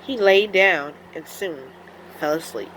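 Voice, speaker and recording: A woman storyteller narrating a children's story in an expressive, deliberate read-aloud style. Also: on the low side, slight background noise, poor audio.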